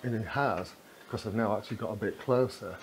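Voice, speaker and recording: A man's voice: lowish voice, brisk, faint music behind. A man giving a casual, chatty running commentary as he films a gear test indoors. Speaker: vlogger testing walkie-talkies. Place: corridors inside a residential building. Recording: clear background noise.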